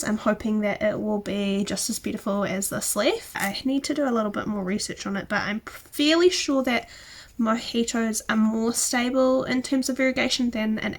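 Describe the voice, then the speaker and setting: A young woman with a New Zealand accent chatting to camera, a little croaky from a cold, enthusiastic and dipping into soft near-whispers. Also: fairly high pitch. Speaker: plant vlogger. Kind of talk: casual chat to camera. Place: indoor room with plant shelves. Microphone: camera close in front.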